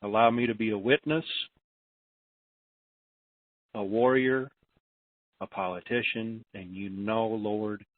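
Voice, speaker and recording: A man hosting a conference call, leading an informal prayer in short, halting, earnest phrases. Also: lowish voice, fairly even intonation, no room sound. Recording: muffled, band-limited conference call line.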